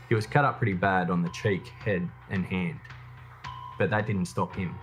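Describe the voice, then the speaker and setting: Low-pitched man narrating true crime. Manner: measured, matter-of-fact storytelling. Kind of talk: scripted documentary narration.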